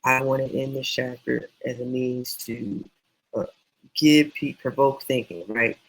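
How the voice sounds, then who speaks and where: A man teaching writing in a casual, meandering, encouraging way, his voice going hoarse. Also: medium pitch, fairly even intonation, measured pace, poor audio. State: losing voice, hoarse. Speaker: self-published author teaching writing.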